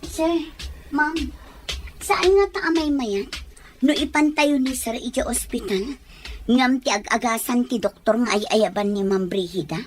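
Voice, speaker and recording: A woman acting an inquisitive, deferential caregiver in a radio drama. She has a high, hesitant voice, recorded with faint noise behind it. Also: unhurried, natural voice. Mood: respectful, concerned. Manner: hesitant, questioning.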